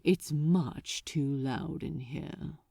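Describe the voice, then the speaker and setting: Woman playing a suave, low, unhurried male archdemon. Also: steady tone, creaky, mellow, breathy edge, no echo, studio quality, heavily acted. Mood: self-satisfied. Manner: smug, elegant, unhurried. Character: suave, sly male archdemon, tender and menacing.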